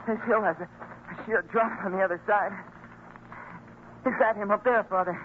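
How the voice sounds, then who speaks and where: A dutiful young son in an old radio play, played by a male actor, high-voiced, polite and eager. The recording is tinny, with slight noise. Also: fairly even intonation, normal speed, lightly acted. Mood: eager, wondering. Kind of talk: old-time radio drama acting.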